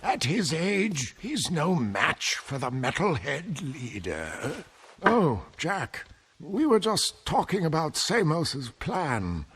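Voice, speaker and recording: An actor playing a haughty, scheming elder in a video game cutscene, speaking with deliberate disdain. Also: male voice, on the high side, very animated, a bit bright, husky, full character voice. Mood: disdainful. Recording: music behind the voice.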